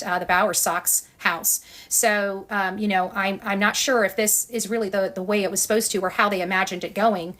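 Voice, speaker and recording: Casting: woman online commentator, rapid delivery, blunt and defiant, off-the-cuff address to her audience. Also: mid-range voice, fairly bright tone. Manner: defiant, emphatic, blunt with some swearing. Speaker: video journalist and online channel creator. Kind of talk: unscripted commentary to camera for followers.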